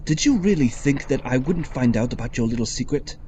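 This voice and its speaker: An actor playing a stern, distrustful, principled ship captain in an audio drama, blunt and formal. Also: male voice, mid-range voice, expressive, brisk, dark tone, a little hiss or hum, lightly acted. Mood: indignant.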